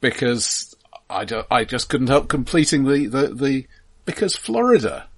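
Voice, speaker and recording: A man's voice: mid-range voice, sing-song, measured pace, husky. A man co-hosting a film podcast in casual discussion, analytical and often stammering over how sentences start.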